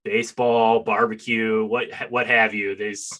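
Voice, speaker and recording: An American man chatting casually as an interview host, with an animated, enthusiastic manner. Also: on the low side, a little slow.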